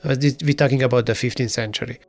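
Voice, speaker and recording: A man from Transylvania, telling a story in a halting way, with many fillers and restarts. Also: medium pitch, steady tone, soft background music. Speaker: interviewee. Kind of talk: casual conversational storytelling.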